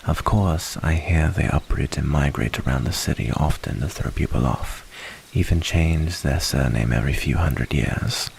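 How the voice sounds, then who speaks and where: An extremely deep male werewolf, acted by a man, wary but protective of humans. He murmurs earnest warnings in a creaky, gravelly ASMR roleplay voice, with breathy pauses. Crickets chirp behind him over a faint hiss. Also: exaggerated voice. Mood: confiding, ominous.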